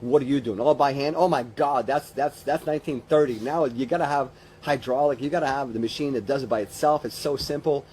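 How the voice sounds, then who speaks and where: A man's voice: mid-range voice, brisk, darkish tone, slight background noise. A man in his fifties giving an informal motivational business coaching talk, rambling conversationally and repeating phrases for emphasis. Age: 50s.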